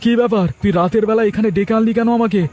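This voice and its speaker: A man's voice: high-pitched, fairly even intonation, rapid-fire, a little mellow, slight background noise, close to an everyday voice. A man voicing an uneasy village troublemaker in a cartoon, wavering and easily cowed. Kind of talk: Bengali cartoon voice acting.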